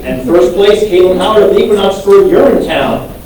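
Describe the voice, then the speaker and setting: A man reading out award categories and winners at a banquet in a brisk list style, with the odd playful interjection. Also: high voice, lively intonation. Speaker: awards presenter. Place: large banquet hall. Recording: echoey hall from far off, loud noise.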